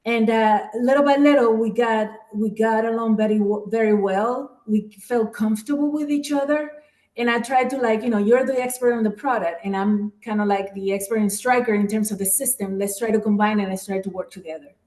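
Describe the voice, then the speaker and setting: A woman's voice: on the high side, a little fast. Woman from the Dominican Republic, echoey. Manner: relaxed, many fillers like "like" and "um". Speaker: guest speaker, program manager. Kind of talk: casual webinar chat.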